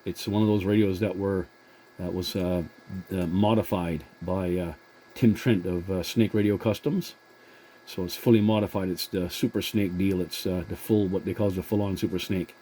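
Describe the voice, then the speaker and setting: A man chatting as a CB radio operator in a courteous, halting manner. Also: deep voice, fairly even intonation, dark tone, a little hiss or hum. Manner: courteous, halting with many hesitations. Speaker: CB radio operator and channel host. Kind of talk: casual two-way radio chat.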